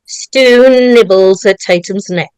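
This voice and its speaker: A woman serves as narrator, reading screenplay directions aloud. Her voice sits at a middle pitch, and the recording is dry with little room sound. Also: takes it slowly, darkish tone, clear, resonant tone, no room sound, low-quality recording.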